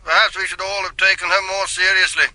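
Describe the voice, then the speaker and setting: An actor playing a dour, dutiful police inspector, speaking in a stiff, formal, pointed way in a radio drama. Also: male voice, high-pitched, level tone, quick pace, bright, forceful tone, low-quality recording.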